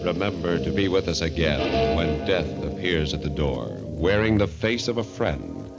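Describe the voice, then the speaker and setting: An actor playing an ominous narrator in a 1940s radio horror show, dramatic and menacing. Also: male voice, a bass voice, animated, normal speed, gravelly, heavily acted. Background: loud dramatic music.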